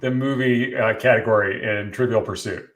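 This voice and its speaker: A man's voice: lowish voice, fairly even intonation, normal speed, crowd noise. A man co-hosting an online talk show with casual, joking banter.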